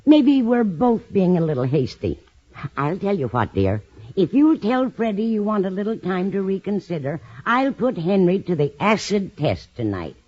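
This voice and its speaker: Husky motherly old landlady, fluttery and earnest, voice up and down, muffled 50s radio comedy. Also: medium pitch, sing-song, normal speed, dark tone. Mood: earnest, coaxing. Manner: motherly, fussing. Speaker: supporting actress. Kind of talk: 1950s radio sitcom acting. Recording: dull lo-fi 1950s radio recording.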